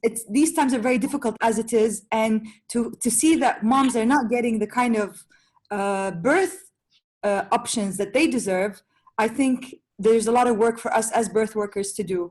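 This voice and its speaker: A woman's voice: a little high, a little fast, a little mellow. A woman doula talking passionately and at length on a panel, with many restarts. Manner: passionate, rambling with fillers and restarts. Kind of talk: casual panel chat.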